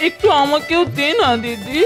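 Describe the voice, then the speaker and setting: A cheeky old grandmother in a cartoon, squeaky and whiny, acted by a woman. Her high voice climbs and dips as she drawls her teasing lines, with music playing behind. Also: lively intonation, moderate pace. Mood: bickering, scheming. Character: mischievous old grandmother, younger sister, boastful. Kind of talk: cartoon voice acting.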